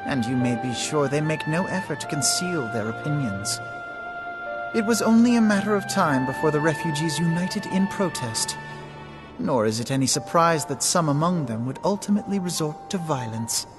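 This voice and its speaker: A man acting as a composed young advisor in a video game. He explains things in formal, articulate, measured phrases. Music plays clearly behind him. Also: fairly high pitch, lively intonation, natural voice. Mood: pointed, concerned. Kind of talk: scripted video game cutscene dialogue.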